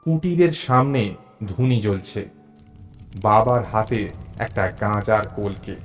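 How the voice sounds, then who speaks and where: An actor narrating a suspense audio story as a curious, mild, observant traveler, in an expressive storytelling manner. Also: male voice, lowish voice, takes it slowly. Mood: measured, atmospheric. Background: background music.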